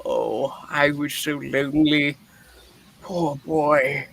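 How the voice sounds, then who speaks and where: Performer acting old miser, theatrical play reading, frightened and wistful, very drawn-out pace, sharp bright tone. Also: medium pitch, steady tone, gravelly, breathy edge, full character voice, poor audio. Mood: wistful, regretful. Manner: dramatic, halting, emotional delivery.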